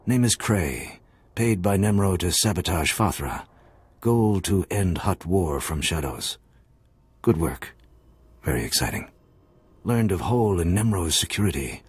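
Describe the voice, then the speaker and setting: An actor playing a gruff, secretive alien fixer in a video game, talking in clipped, telegraphic broken phrases with a husky voice. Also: male voice, deep voice, lively intonation, a little slow, a little mellow, no echo, heavily acted. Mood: terse, secretive.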